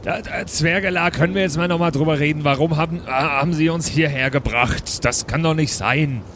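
A man's voice: a little high, fairly quick, fairly bright tone, a little hiss or hum, natural voice. A man playing a puzzled, formally polite dwarf adventurer who questions plainly in an improvised audio play.

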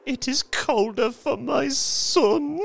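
Man putting on a shrill, hysterical grieving old woman. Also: takes it slowly, creaky, full character voice. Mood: weeping grief. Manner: wild, feverish, crying out.

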